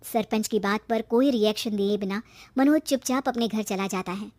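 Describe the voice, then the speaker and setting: A woman's voice: medium pitch, moderate pace, darkish tone. A woman storyteller reading a scripted narration for a cartoon moral story.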